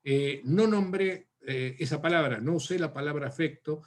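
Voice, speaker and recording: An Argentine man answers a seminar question over a video call. His voice sits a little high, and he talks in a thoughtful way, pausing on fillers and restarting phrases. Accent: Argentine Spanish. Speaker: academic speaker.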